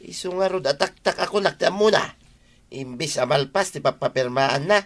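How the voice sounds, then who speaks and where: Man playing sharp-voiced grumbling Ilocano villager. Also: fairly high pitch, moderate pace, natural voice. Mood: annoyed. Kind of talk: comedic Filipino radio drama acting.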